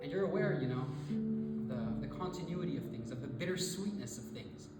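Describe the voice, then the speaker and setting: Casting: actor for a rambling, emotional storyteller in a theatre monologue, mid-pitched, casual and halting, heard in a very reverberant hall over clear music. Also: expressive, natural voice. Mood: bittersweet, intense. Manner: halting, repetitive, emotional delivery. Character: rambling, sentimental storyteller moved by a family reunion, turning zealous. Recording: very echoey hall, distant mic, music behind.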